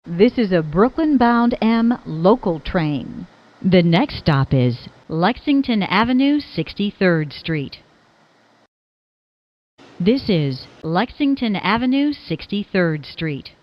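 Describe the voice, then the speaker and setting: A woman's voice: medium pitch, very animated, takes it slowly, muffled recording. A woman reading scripted subway announcements in formal, clipped phrases that sound pieced together.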